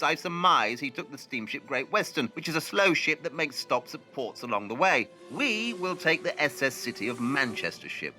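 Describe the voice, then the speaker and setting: A man's voice: medium pitch, sharp tone. A man playing a shrewd, precise, self-assured Victorian detective in an audio drama.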